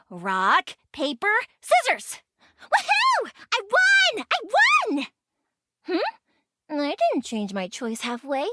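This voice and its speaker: A bubbly young fireworks maker, full of teasing chatter and giggles. Her voice sits high and leaps up and down with excitement. It is recorded close and dry, with no room sound. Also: dry recording, exaggerated voice. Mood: playful. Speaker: game character. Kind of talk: game voice lines.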